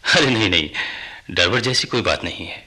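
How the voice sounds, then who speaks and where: A man playing an avuncular older family doctor in a radio drama, familiar and reassuring, with a raspy voice. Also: low voice, lively intonation, moderate pace, sharp tone, full character voice. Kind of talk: Hindi radio drama acting.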